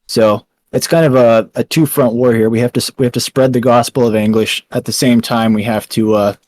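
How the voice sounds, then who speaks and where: A man's voice: mid-range voice, steady tone, a little fast, a little mellow, dry recording. A young man with a Texan accent, answering interview questions with many ums and restarts. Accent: Texan American. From Texas, USA. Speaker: founder and writer of a small news site.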